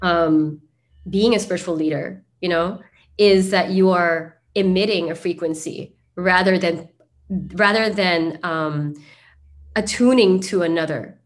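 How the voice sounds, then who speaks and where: A woman's voice: lowish voice, measured pace. A woman hosting an interview, musing aloud with enthusiasm and lots of fillers. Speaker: summit host. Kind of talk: interview hosting, spiritual talk.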